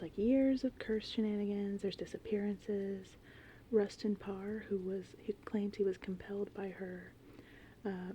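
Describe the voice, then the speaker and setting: A woman speaks in a soft, breathy whisper with a dark, relaxed tone. She rambles casually and conversationally, as an ASMR host does. Gentle rain and thunderstorm sounds sit faintly behind her. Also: mid-range voice, slight background noise, low-quality recording. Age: 30s. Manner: informal, meandering, conversational. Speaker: ASMR host, horror film fan.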